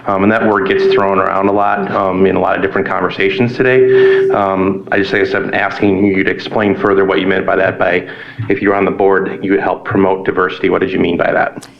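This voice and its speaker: Board member guy, lowish voice, lots of ums, kinda muffled lo-fi sound. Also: little pitch movement, a little fast, creaky, noisy background. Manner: hesitant, many ums. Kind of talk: interview questioning.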